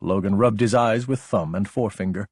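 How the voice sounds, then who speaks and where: A man's voice: fairly low pitch, a little fast, darkish tone, breathy edge, no room sound, studio quality. A man reading scripted fiction as an audiobook narrator.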